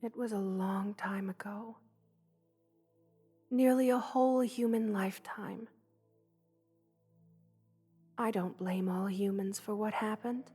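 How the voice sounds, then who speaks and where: A woman voicing a gentle, loving, motherly spider queen in a soft, hushed ASMR roleplay, tender and wistful, often slipping toward a whisper. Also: mid-range voice, steady tone, measured pace, breathy edge, faint music behind. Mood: sad, resigned.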